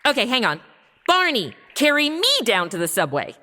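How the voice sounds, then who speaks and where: A woman's voice: fairly high pitch, very animated, normal speed, pushed and bright, big-room sound. An actress playing a vain, crude young woman with a bratty, slangy manner in a comedy audio drama. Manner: bratty, valley-girl slang.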